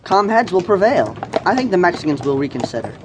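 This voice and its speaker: A confident Texan traveler in a radio drama. His voice is rather high with lively swings. It rings with long reverb over music. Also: brisk, noisy background. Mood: assured. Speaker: actor.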